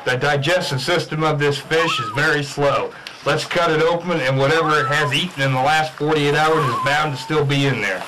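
A man's voice: on the high side, normal speed, noisy background, close to an everyday voice. A man playing a methodical, sceptical fish scientist in a radio drama spoof. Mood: insistent. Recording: music behind the voice.

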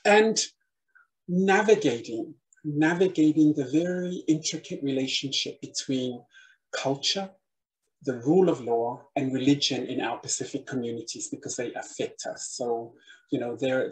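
A woman's voice: rumbling low, measured pace, rough recording. A middle-aged woman from Samoa with a Samoan accent, giving an earnest prepared talk as a panel speaker over a video call, often repeating words as she searches for them. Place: room with bookshelves indoors. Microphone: webcam on a video call.

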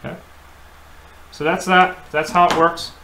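A man's voice: on the high side, flat delivery, unhurried. A man, an HVAC technician, giving a hands-on demonstration at his workbench, explaining plainly and repeating himself with many fillers. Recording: echoey room, faint background hum.

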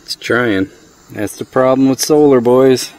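A man's voice: medium pitch, measured pace, darkish tone, rough recording. A middle-aged man casually reviewing gear outdoors in a driveway, thinking aloud and cracking dry jokes, recorded on a body-worn action camera. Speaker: product reviewer. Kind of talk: casual hands-on product review.

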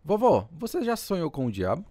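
A man's voice: fairly high pitch, huge pitch swings, fairly quick, warm tone, no echo. A man playing an agitated, earnest teenage boy in a radio drama. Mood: uneasy.

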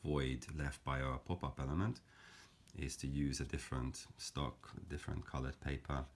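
A man calmly explaining a paper craft step by step, in a gentle, measured way with frequent fillers. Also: very deep voice, a little hiss or hum. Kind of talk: calm step-by-step craft tutorial.

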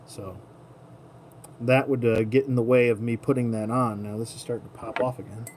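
A man's voice: mid-range voice, fairly even intonation, normal speed, darkish tone. A man giving a casual hands-on tutorial as a hobby host, at times murmuring to himself as he works. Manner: murmurs to himself while working with his hands. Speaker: toy collector host. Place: indoor tabletop setup. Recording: clearly noisy room ambience.

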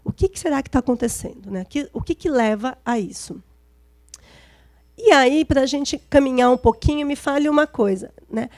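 A middle-aged Brazilian woman speaks in a soft, mellow tone that sits fairly high, with a slight hoarseness. She lectures informally, often checking in with short tags. Her handheld microphone gives a close, dry sound. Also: expressive, moderate pace, no echo. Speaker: lecturer, gerontology specialist. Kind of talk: public lecture, conversational. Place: event stage before seated audience, indoors.

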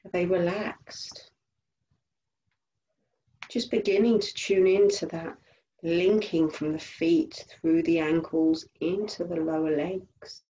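A woman leading a guided body scan meditation as a teacher, giving gentle instructions in short phrases with unhurried pauses. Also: lowish voice, steady tone, dull audio, a little hiss or hum.

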